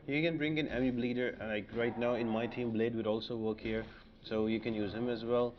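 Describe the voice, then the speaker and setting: A man's voice: medium pitch, steady tone, a little fast, muffled recording, slight background noise. A man narrating a video game walkthrough as a guide, explaining tactics step by step.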